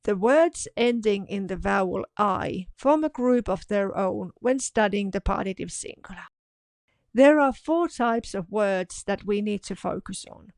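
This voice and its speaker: A woman narrates a scripted language lesson, saying each example word twice, slowly and deliberately. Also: medium pitch, lively intonation, darkish tone, dry recording. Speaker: language teacher.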